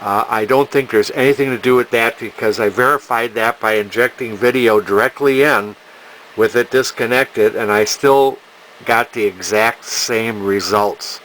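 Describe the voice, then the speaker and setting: A man's voice: medium pitch, measured pace, fairly bright tone, a little hiss or hum, poor audio. A man giving casual, hands-on repair commentary as a technician, plain-spoken and technical, thinking aloud as he works. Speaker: electronics repair technician and video host.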